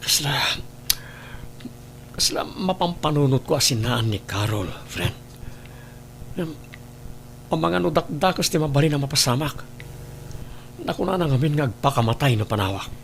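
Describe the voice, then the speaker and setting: A man acting a troubled, pleading married father, slightly high-voiced. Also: animated, moderate pace, vocal fry, pauses often, slight background noise. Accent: northern Philippine. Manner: earnest, pleading. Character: guilt-ridden married father torn between family and mistress. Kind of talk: Philippine audio drama acting.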